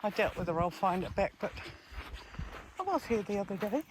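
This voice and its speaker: A man's voice: high voice, very animated, fairly quick. A man from New Zealand chatting casually to camera as a travel vlogger on a walk, musing aloud in short phrases. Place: outdoors at a beach. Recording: outdoor noise, faint clicks.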